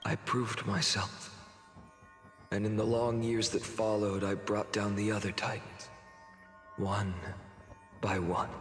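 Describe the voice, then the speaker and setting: A man acting a slow, solemn, wrathful young hero. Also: medium pitch, close to an everyday voice. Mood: solemn, weary. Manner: solemn, deliberate, dramatic delivery. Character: grieving, wrathful young male hero recounting endless doomed cycles. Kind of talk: dramatic video game story narration. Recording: long reverb, clear music behind. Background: game music.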